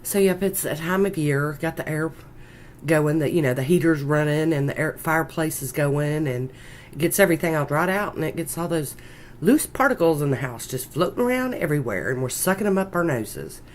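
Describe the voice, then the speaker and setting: A congested woman with a croaky voice and a Southern US accent, chatting casually in a folksy, rambling way as she narrates a nail art tutorial. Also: low-pitched, expressive, brisk. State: congested with a sinus infection, sore throat. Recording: noticeable background noise.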